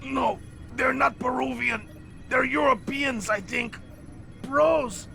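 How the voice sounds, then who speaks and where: High, creaky, wary ship captain. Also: male voice, fairly even intonation, takes it slowly, sharp tone, soft background music, heavily acted. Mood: wary, irritated. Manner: curt, commanding, uneasy. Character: gruff, wary male South American ship captain. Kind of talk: video game voice acting.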